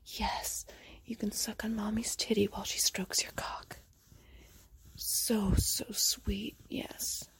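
A woman whispering softly in a slow, doting and coaxing intimate roleplay. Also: mid-range voice. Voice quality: soft breathy whisper. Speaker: roleplay performer.

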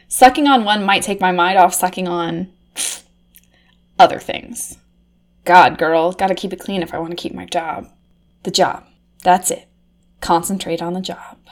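A woman voicing a flustered, easily aroused undercover reporter, swinging from hushed murmurs to shrill cursing. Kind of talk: comedic erotic audio drama monologue.

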